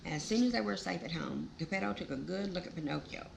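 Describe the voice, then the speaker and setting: A woman's voice: lowish voice, animated, quick pace, creaky, noisy background. A woman reading a children's storybook aloud, with folksy, exclaiming asides to the listener. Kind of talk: animated storybook read-aloud with chatty asides.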